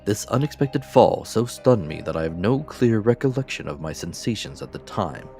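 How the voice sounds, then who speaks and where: A man's voice: fairly low pitch, big pitch swings, normal speed, a little mellow. A man reading classic fiction as a dramatic, scripted audiobook narration. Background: faint music.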